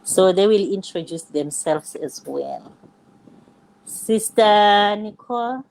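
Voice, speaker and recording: A woman's voice: mid-range voice, expressive, slow pace, darkish tone, rough recording. An older woman, a grandmother, hosting a live online session and greeting viewers and panelists in a welcoming way. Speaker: host.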